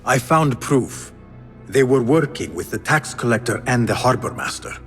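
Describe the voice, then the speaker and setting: An actor playing a guarded, determined young former thief, speaking in a terse, serious way in a video game. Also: male voice, mid-range voice, animated, normal speed, fairly bright tone, close to an everyday voice. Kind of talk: video game cutscene acting. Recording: music behind the voice.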